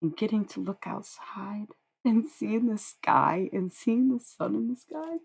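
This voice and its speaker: A woman's voice: mid-range voice, lively intonation, measured pace, creaky, a little mellow, breathy edge. A young woman livestreaming, chatting with viewers in a rambling, dreamy way and swearing freely. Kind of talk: casual livestream chat with viewers.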